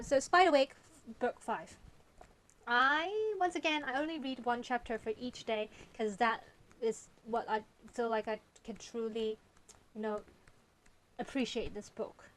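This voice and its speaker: A woman's voice: fairly high pitch, normal speed, fairly bright tone. A young woman with a Cantonese accent, a writer chatting on her vlog, thinking aloud and sometimes dropping to a whisper. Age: young adult. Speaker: vlogger and novelist. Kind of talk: casual vlog, reading aloud.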